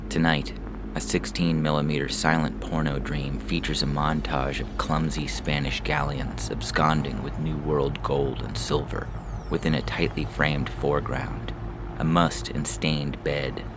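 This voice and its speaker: A man giving a solemn, ominous literary reading of a surreal story in a deliberate manner. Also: a bass voice, level tone, background noise. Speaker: story reader. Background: loud music.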